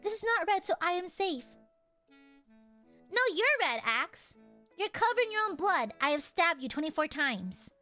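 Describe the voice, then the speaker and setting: A woman streamer chatting casually with her viewers, loud and crude, teasing them and swearing a lot, then suddenly dropping into mock-serious mutters. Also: extremely high pitch, normal speed, soft background music. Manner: animated, jumps between shouting and mock-serious mutters. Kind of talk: casual live-stream chat.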